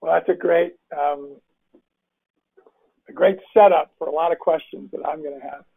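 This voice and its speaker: A man's voice: a little high, steady tone, normal speed, creaky, husky. An older man hosting a casual interview, rambling with restarts and fillers over a call. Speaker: interview host. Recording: phone-like remote call audio.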